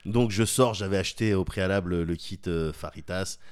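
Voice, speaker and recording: A man, telling a story in a casual chat. He laughs often and jumps into high voices for quoted kids. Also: fairly quick, smooth. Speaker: podcast co-host.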